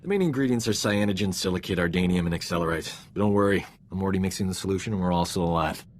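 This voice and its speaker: An actor voicing a cocky, vain, glib space medic in a video game, with a low voice. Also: male voice, very fast, a little hiss or hum, natural voice. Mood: smug, eager. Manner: boastful, flippant, glib. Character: cocky, vain, fast-talking roguish male space medic.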